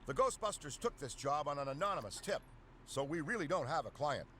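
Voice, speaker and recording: An actor playing an earnest, eager middle-aged paranormal scientist in a video game, talking in technical, rambling turns. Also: male voice, a little high, big pitch swings, brisk, bright, forceful tone, slight background noise.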